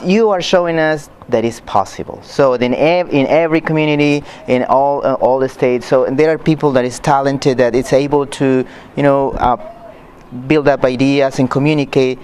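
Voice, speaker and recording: A man conducting a conversational interview in non-native English, often halting as he searches for words. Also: medium pitch, steady tone, darkish tone. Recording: noticeable background noise.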